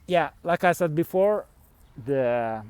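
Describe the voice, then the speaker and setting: A man's voice: a little high, lively intonation, slow pace, a little mellow, clean tone, no room sound. A middle-aged man from Switzerland, rambling candidly through a casual vlog monologue with the odd chuckle. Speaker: fasting coach and vlogger.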